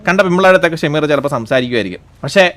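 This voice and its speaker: A man's voice: fairly high pitch, animated, racing, a bit bright. A man with a Kerala accent in improvised game roleplay, acting as a bossy, repetitive mediating elder.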